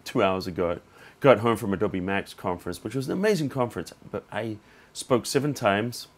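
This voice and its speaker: A man with a slightly hoarse voice hosting a casual unboxing vlog, thinking aloud in an informal, conversational way. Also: on the low side, moderate pace. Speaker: tech reviewer and channel host.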